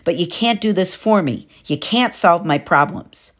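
Gentle anxious mother, read by a woman: soft, pleading. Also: fairly low pitch, expressive, measured pace.

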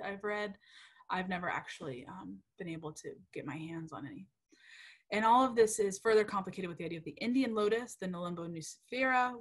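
Husky-voiced woman giving a casual lecture. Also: on the low side. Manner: chatty, with many ums and self-corrections; uptalk and frequent "right?" checks. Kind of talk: casual academic lecture over slides. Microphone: computer mic on a video call.

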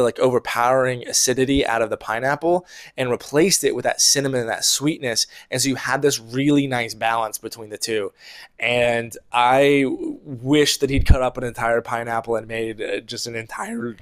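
A young man speaking to the camera with a lapel mic indoors, casually and animatedly reviewing a meal he ate. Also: mid-range voice. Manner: chatty, animated, with laughs and fillers. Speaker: producer giving a food review. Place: indoor room at home.

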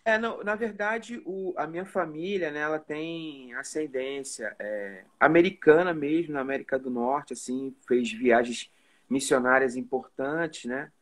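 A man's voice: on the high side, measured pace. Carioca man telling stories over a video call. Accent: Carioca Portuguese. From Rio de Janeiro, Brazil. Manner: relaxed storytelling with many "né?" tags. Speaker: musician guest. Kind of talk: casual live video chat. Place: indoor room at home. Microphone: phone or webcam on a video call.

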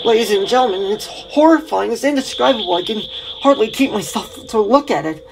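A performer playing an excitable, stammering field reporter in a 1930s-style radio drama, urgent and awed. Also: medium pitch, fairly quick, creaky. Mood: horrified. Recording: crowd noise behind.

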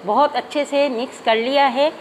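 A woman hosting a cooking channel, calmly walking viewers through a recipe step by step in a home kitchen. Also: high-pitched, moderate pace, slight background noise. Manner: calm, methodical, addresses viewers directly. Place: home kitchen, hands only on camera.

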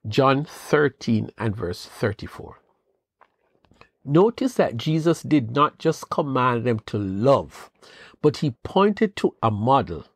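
A man hosting a devotional podcast, giving an earnest, measured Bible teaching with rising questions. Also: mid-range voice, big pitch swings, no echo, clean studio sound. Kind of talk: scripted devotional talk.

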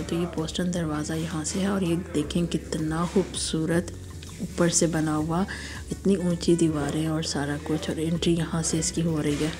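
A hoarse woman from Pakistan with a sore throat, narrating a casual, admiring walking tour for her vlog. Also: low voice. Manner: chatty, admiring, guiding viewers along. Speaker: travel vlogger.

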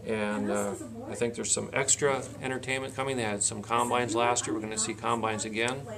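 A man in his forties with a gravelly, fry-edged voice talks through a list of events in an interview. His delivery is plain and halting. He is picked up by a camera mic in a meeting room, with chatter behind him. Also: medium pitch, flat delivery. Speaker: county fair board president, interview guest. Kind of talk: reading out an event schedule in a radio interview. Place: small indoor meeting room, seated at a table. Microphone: camcorder a short distance in front.

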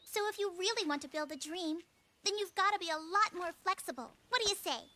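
An actress playing a devoted, spirited young warrior princess in a video game, speaking earnestly and tenderly. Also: female voice, extremely high pitch, a little fast, pushed and bright, slight background noise. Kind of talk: English-dubbed video game cutscene acting.

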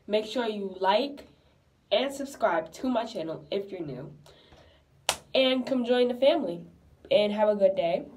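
A woman's voice: medium pitch, darkish tone, a little hiss or hum. A young woman chatting to her viewers in a casual vlog, filmed on a phone held at arm's length in a small tiled bathroom. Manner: chatty and bubbly, addressing viewers directly. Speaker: vlogger. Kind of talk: casual vlog chat to camera. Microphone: phone camera held at arm's length.

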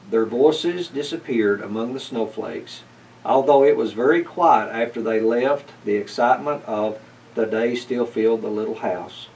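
A man's voice: mid-range voice, a little mellow. A middle-aged man reading a children's story aloud as a narrator. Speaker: storybook reader. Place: indoors, library with bookshelves. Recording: slightly distant mic, faint room noise.

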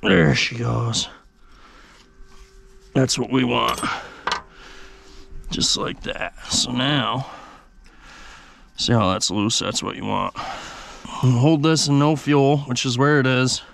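A man's voice: mid-range voice, slow, vocal fry, long pauses. A man casually walking viewers through an engine repair step by step, as a mechanic would. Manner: relaxed, talks the viewer through each step. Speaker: mechanic restoring an old diesel engine.

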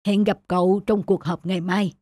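An actor playing a modest older resort owner in a cartoon, speaking in a measured, firm, unassuming way. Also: male voice, high-pitched, little pitch movement, a little mellow, dry recording, studio quality. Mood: reassuring. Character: calm, modest older male resort owner picking up litter. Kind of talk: cartoon voice acting. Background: faint music.

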